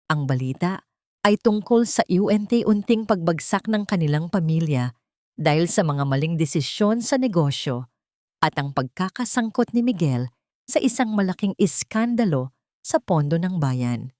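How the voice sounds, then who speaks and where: Woman telling a dramatic story, lowish voice, really dry close sound. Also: expressive, measured pace, darkish tone, dry recording. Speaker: story narrator. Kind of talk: dramatic scripted storytelling narration.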